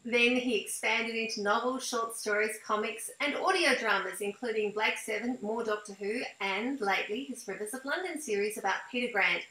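A woman's voice: on the high side, normal speed, few pauses, sharp tone. A middle-aged woman hosting an interview over a video call, moving between prepared introduction and conversational questions. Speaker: interviewer, host. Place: indoor room at home, video call. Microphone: device mic on a video call. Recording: echoey room on video call.

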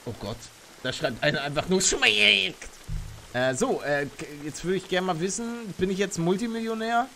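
A young man streaming a game live, chatting with his viewers and reacting loudly, close to a studio mic at home. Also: high-pitched, moderate pace, vocal fry, soft background music. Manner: chatty, reads chat aloud, bursts into excited shouts. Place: home streaming room, indoors. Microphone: studio mic on arm near face.

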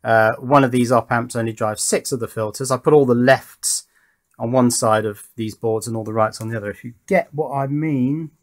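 A man's voice: medium pitch, moderate pace. A man giving casual hands-on demonstration commentary, thinking aloud in a tentative, musing way. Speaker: host of an electronics build channel. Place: indoor workbench.

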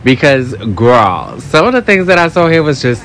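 A man's voice: medium pitch, big pitch swings, normal speed, a little hiss or hum, low-quality recording. A man in his thirties from New York narrating a casual vlog in a sassy, campy, emphatic way, repeating words for effect. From New York, United States. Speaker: vlogger. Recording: loud music under the voice. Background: loud music.

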